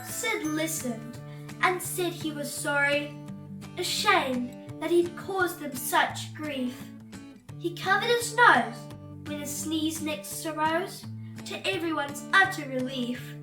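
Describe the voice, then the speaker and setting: A woman reading a children's storybook aloud as host, in a theatrical, exclamatory way with drawn-out emphasis. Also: high voice, animated, recorded from a distance. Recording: roomy echo, off-mic, music bed.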